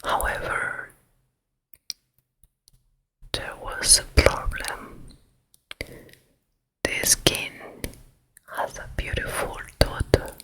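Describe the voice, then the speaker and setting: A woman voicing a vain, jealous, wicked fairy-tale queen stepmother in a hushed, deliberate whisper for an ASMR roleplay. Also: rough recording. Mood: hateful, scheming. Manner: hushed, slow, deliberate, menacing.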